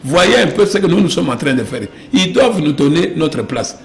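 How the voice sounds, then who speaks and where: A man's voice: a little high, very animated, normal speed. A man from DR Congo with a Congolese French accent, speaking insistently as a political interview guest, repeating phrases and posing rhetorical questions. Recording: roomy echo with clear background noise.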